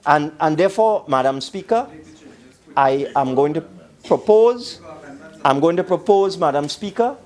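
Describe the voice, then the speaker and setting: A man's voice: fairly high pitch, animated, unhurried, a little mellow. A man with a Trinidadian accent giving an emphatic parliamentary speech, repeating phrases for stress. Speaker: government minister speaking in parliament.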